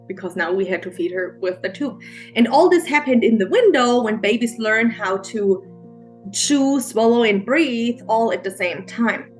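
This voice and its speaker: A middle-aged woman with a German accent, a mindset coach, telling her story as an interview guest in a halting, earnest way with many restarts. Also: mid-range voice. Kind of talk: emotional personal storytelling interview.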